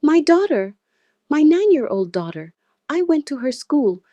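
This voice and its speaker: Woman acting a high-pitched, wailing Nigerian mother. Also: big pitch swings, unhurried, warm tone, no echo, highs cut off. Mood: distraught. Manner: wailing, pleading. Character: distraught Nigerian mother, a street corn seller.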